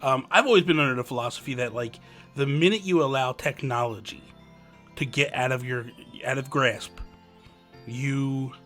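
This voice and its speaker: A man's voice: mid-range voice, normal speed, a bit bright, faint music behind. A man hosting a podcast, chatting casually and rambling as he goes, laughing easily. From New Jersey. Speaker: podcast host.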